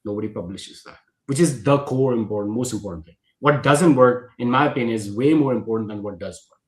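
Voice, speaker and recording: A man's voice: medium pitch, fast talker, echoey room. A man from Pakistan hosting a podcast, talking animatedly with emphatic stresses and frequent restarts. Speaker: podcast host. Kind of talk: conversational podcast discussion.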